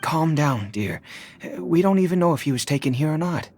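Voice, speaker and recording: An actor voicing a calm, weary middle-aged village husband in a game, with a hesitant manner. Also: male voice, on the high side, moderate pace. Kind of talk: game voice acting.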